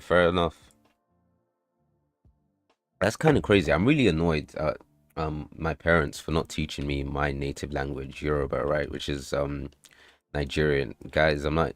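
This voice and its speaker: Super low voiced guy streaming his game dev, rambly and sweary, dry close sound. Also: moderate pace, pauses often, no echo, soft background music. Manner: loose and rambling, swears, bursts into excited outbursts, addresses chat. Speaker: livestreamer and game developer. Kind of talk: casual livestream chat while coding and playtesting.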